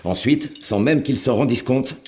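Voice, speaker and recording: An actor playing a dutiful Roman aide in a French audio drama, reporting in a formal, orderly way. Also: male voice, medium pitch, moderate pace, a little mellow, slight background noise, lightly acted.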